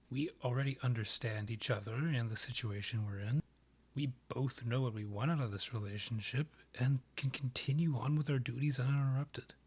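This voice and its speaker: Man acting vampire royal, breathy, medium pitch, haughty, formal, measured, condescending, romantic ASMR audio drama. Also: darkish tone, natural voice. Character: haughty male vampire monarch, proud rival and ex-lover.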